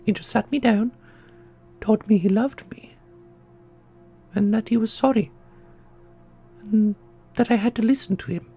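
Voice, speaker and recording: A woman playing an ancient female custodian in a fantasy audio drama, dignified and sorrowful, speaking in a formal, measured, gentle way. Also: mid-range voice, husky, faint music behind. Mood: sorrowful, solemn.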